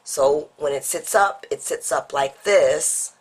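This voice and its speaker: A woman's voice: sits low, takes it slowly, husky. A woman with a congested, stuffy voice, getting over a cold, chatting through a step-by-step papercraft demonstration as host of her channel. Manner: chatty, explains each step while working.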